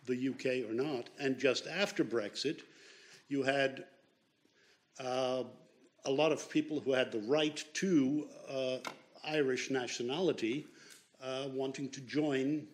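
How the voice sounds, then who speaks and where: A man from Austria in the audience, asking a speaker a question in a measured, careful way. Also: mid-range voice.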